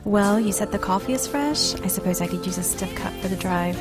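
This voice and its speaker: A woman's voice: medium pitch, fairly quick, lightly acted. A woman playing a polite, genteel television actress in an amateur audio play. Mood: polite, hesitant. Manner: polite, refined. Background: music.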